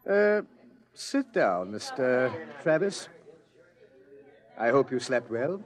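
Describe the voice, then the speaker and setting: A man's voice: mid-range voice, big pitch swings, slow pace. A man playing a suave, mocking, patiently menacing pursuer in a 1950s radio drama. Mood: coolly insistent. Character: smug, patient male pursuer from the future, menacing. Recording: old-time radio recording.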